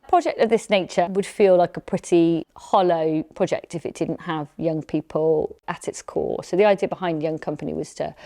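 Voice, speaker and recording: A woman's voice: on the low side, mellow, no room sound, clean studio sound. A woman giving an explanatory interview as a member of a project team.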